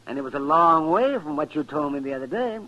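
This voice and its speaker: A man's voice: a little high, quick pace, muffled recording. An American man acting in an old-time radio western as a crusty, needling town doctor, talkative and cantankerous. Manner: cantankerous, teasing, animated. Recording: noisy vintage radio recording.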